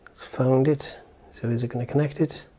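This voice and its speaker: A man's voice: mid-range voice, steady tone, moderate pace, dark tone, slight background noise. A man giving casual hands-on product commentary as a reviewer, explaining step by step and thinking aloud informally. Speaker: tech reviewer and presenter.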